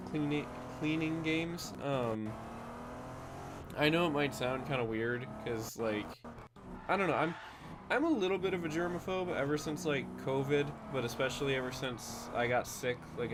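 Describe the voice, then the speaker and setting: Male streamer, just woke up, a little nasal, loose chatty rambling over steady background noise. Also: mid-range voice, normal speed. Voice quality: slightly nasal. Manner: chatty, many fillers and restarts. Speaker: game streamer. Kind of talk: casual rambling stream chat.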